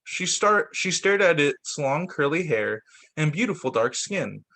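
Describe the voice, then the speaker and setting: A man reading a children's picture book aloud like a teacher at story time, expressive, with the odd stumble. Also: on the high side, unhurried. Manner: expressive, voices the dialogue with slight shifts; stumbles and corrects himself while reading.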